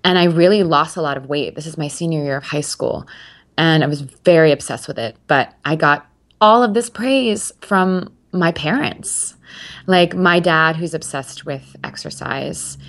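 A woman's voice: low-pitched, unhurried. A woman in her twenties talking candidly as an interview guest, chatty and rambling with many fillers and restarts.

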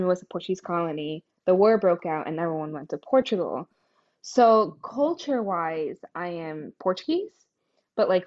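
A woman's voice: on the low side, a little mellow. A young woman co-hosting a podcast, rambling casually and correcting herself with "you know" and "like". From New Jersey, US. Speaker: podcast co-host. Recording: dull lo-fi podcast audio.

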